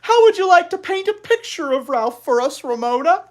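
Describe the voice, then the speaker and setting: A woman's voice: extremely high pitch, big pitch swings, normal speed, darkish tone, voice pushed up. A woman voicing a pleasant, encouraging female art teacher in a storybook, in a singsong way. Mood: cheerful, pleasant.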